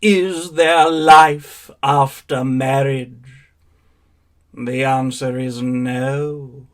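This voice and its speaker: A man's voice: medium pitch, steady tone, drawn-out words. An actor playing a witty, world-weary elderly male raconteur in an arch, languid theatrical monologue. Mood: arch, droll. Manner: arch, languid, epigrammatic delivery. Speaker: actor in a one-man stage show.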